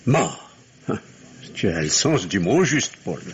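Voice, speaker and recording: A man's voice: low voice, sing-song, takes it slowly, slight background noise, heavily acted. An actor playing a bitter, sarcastic middle-aged family man in an old French radio mystery play, sardonic and mock-polite, on a muffled recording. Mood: sarcastic, biting.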